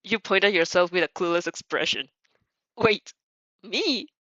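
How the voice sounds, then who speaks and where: A woman giving an expressive, giggly story narration with spontaneous reactions, often stumbling over her words. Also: on the low side, fast talker, no echo, highs cut off. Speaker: fan fiction reader and reactor.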